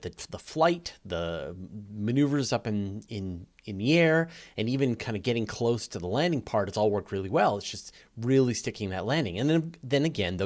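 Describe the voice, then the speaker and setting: A man's voice: medium pitch, darkish tone. A man hosting a live question-and-answer session, talking casually and explaining with emphatic stress on key words. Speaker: host of a live space Q&A.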